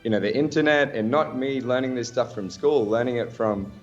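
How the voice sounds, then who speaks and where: A man's voice: medium pitch, fairly quick, highs cut off, soft background music. An Australian man in a casual radio interview as a guest, talking in an upbeat, slangy way. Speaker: guest, startup founder.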